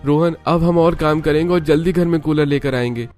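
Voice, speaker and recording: A man's voice: on the high side, brisk, darkish tone, faint music behind, lightly acted. A man voicing a weary, earnest young working husband in a cartoon moral story, with a plaintive manner. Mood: resolved.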